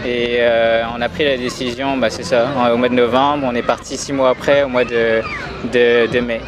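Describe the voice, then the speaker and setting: A man from France, a traveling father, answering questions in a calm, matter-of-fact way with frequent fillers. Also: mid-range voice, moderate pace. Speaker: interview guest, traveling father. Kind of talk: casual conversation. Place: busy city street outdoors. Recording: loud street crowd noise. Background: crowd and traffic.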